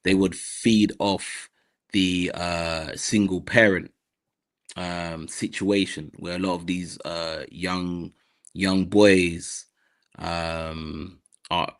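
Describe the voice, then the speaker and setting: A man's voice: low voice, steady tone, very slow. A man with a London accent, a guest on an interview podcast, talking in a hesitant way with many fillers and restarts. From South London, Nigerian heritage. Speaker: guest, mental health advocate.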